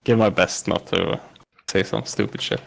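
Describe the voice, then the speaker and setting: A man in his thirties speaks with a Swedish accent, his voice gravelly and dragged down by a cold. He answers questions casually but haltingly, with lots of pauses and restarts, since English is his second language. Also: sits low, steady tone, a crowd in the background. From Sweden. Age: 30s. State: has a cold. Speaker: podcast guest. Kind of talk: casual interview chat.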